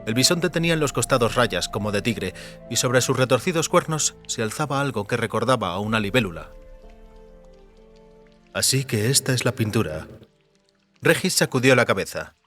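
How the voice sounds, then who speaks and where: A man's voice: mid-range voice, animated, brisk, a bit bright, soft background music. A man reading scripted literary narration for a fantasy audiobook.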